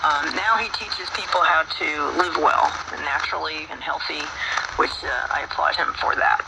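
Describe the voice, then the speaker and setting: A woman's voice: sits low, normal speed, gravelly, heavy background noise. A woman hosting a radio show, talking casually in a folksy, earnest and devout manner. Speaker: radio host and herbalist. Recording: radio broadcast with prominent music.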